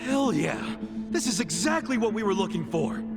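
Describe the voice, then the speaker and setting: Brash, animated street tough, higher voice. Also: male voice, moderate pace, gravelly, heavily acted. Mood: elated. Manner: loud-mouthed, slangy, informal. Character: brash, goofy, big-hearted male street tough, flirty. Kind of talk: English video game dub acting. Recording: music under the voice.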